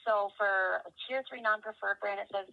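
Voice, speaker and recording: A woman working as a customer service representative on a telephone call, speaking haltingly as she thinks aloud and checks details. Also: mid-range voice, fairly even intonation, creaky. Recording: dull, band-limited telephone line.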